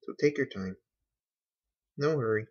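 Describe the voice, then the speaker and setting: A man giving a casual how-to narration in an unhurried, folksy way, talking through each step as a hobbyist. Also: mid-range voice, husky. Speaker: hobbyist demonstrating a repair. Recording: dull, lo-fi home recording.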